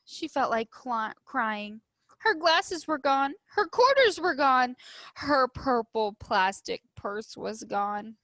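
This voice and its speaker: A woman reads to young pupils in a fairly high, bright voice with a dry, close sound. She is emphatic and instructive, shaping the story expressively as a teacher would. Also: dry recording. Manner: emphatic, instructive, addressing children. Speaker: elementary school teacher. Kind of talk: expressive storybook read-aloud with questions to pupils.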